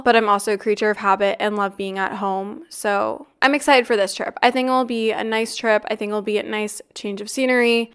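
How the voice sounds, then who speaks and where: A woman in her twenties hosting a podcast in casual chat, a little congested and raspy from the flu, upbeat and chatty with lots of "like" and "really". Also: medium pitch, fairly bright tone. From Canada. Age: 20s. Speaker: podcast host.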